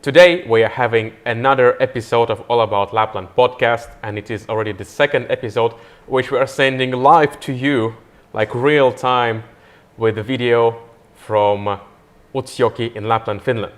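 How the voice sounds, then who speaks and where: A man's voice: medium pitch, steady tone, moderate pace, hardly any pauses. A man hosting a live podcast interview outdoors by a river, talking in a friendly, enthusiastic way with frequent fillers. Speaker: podcast host.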